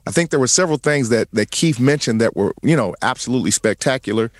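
A man's voice: mid-range voice, expressive, quick pace, no room sound, studio quality. A man co-hosting, commenting thoughtfully with many fillers and hedges. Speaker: co-host. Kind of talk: casual discussion.

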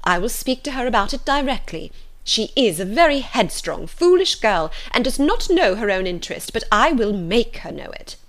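Woman acting a high, fretful, excitable mother. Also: moderate pace. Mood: indignant, insistent. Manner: urgent, exclamatory. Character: fretful, excitable middle-aged mother, insistent and flustered. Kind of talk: audiobook character voice.

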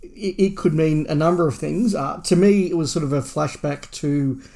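A man's voice: on the high side, normal speed, vocal fry, dark tone. Man co-hosting a casual discussion. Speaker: podcast co-host. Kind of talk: casual discussion of Doctor Who fandom news.

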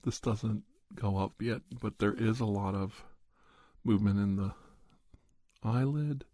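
A man who makes ASMR videos, talking casually to camera from home into a condenser studio mic, his voice worn down by a cold and his talk unhurried and meandering. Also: on the low side, no room sound. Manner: unhurried and meandering, soft at times. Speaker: ASMR channel creator and podcaster. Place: at home, indoors. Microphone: large condenser studio mic.

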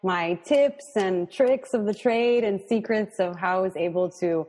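A woman's voice: medium pitch, measured pace, a little mellow. A woman in her thirties from Los Angeles, a guest entrepreneur chatting casually with fillers. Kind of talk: casual interview talk. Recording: long reverb.

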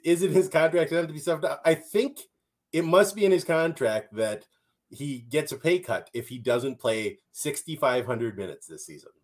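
A man's voice: fairly high pitch, normal speed. A man from Minnesota with a Minnesotan accent, co-hosting a casual soccer chat with wry jokes. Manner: wry, joking, animated. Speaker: podcast co-host.

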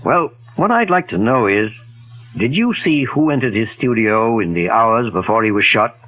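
An actor playing a calm, polite, inquisitive gentleman detective in a 1940s radio drama. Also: mid-range voice, moderate pace, muffled recording. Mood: polite, measured. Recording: old 1940s radio recording.

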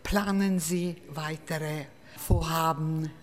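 A woman interviewer asking measured, formally worded questions. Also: sits low, fairly even intonation. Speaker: radio interviewer. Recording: reverberant room with faint ambient noise.

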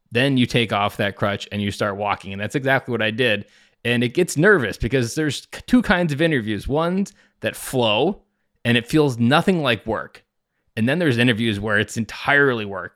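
A man hosts a podcast in a casual, earnest way, at an ordinary pitch for a man. His voice is recorded dry and close. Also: fairly bright tone, no room sound. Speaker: podcast host.

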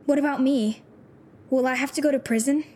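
An actress playing a troubled, disillusioned teenage runaway with superpowers in an audio drama, talking in halting, informal, youthful phrases. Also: female voice, high voice, steady tone, mellow, slight background noise, natural voice. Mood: scared, unsure.

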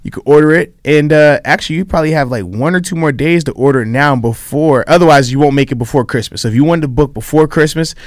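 A man co-hosting a sports radio show who hypes things up with shouted, sing-song ad-libs. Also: on the high side, fast talker, hardly any pauses, no echo, studio quality. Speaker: sports radio co-host, former player. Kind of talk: hype sports show banter.

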